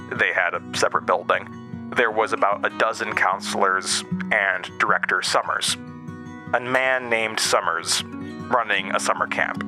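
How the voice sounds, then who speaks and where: A man narrating a scripted first-person horror audio drama as a storyteller, with dramatic pauses. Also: fairly low pitch. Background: music, plucked guitar.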